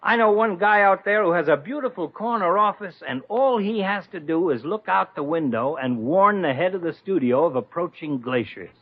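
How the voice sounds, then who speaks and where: A shameless smooth-talking male agent, glib deadpan patter, lively pitch swings, dull old 50s radio sound. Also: normal speed, hardly any pauses. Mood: persuasive. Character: slick, shameless male talent agent, breezy hustler. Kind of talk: 1950s radio comedy acting. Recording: muffled lo-fi 1950s radio recording.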